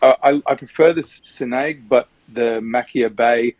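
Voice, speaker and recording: An upbeat man who likes a joke, speaking on a video call. His voice is dry and close with no room sound. It sits at a middling pitch. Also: normal speed, darkish tone, dry recording, highs cut off. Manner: joking, upbeat. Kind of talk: casual roundtable chat.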